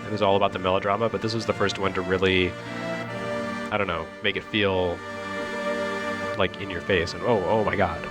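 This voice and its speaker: A man's voice: low-pitched, level tone, brisk, pauses often, over loud music. A man giving casual, joking, rambling commentary on video game cutscenes in a sardonic way. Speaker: reviewer and co-host.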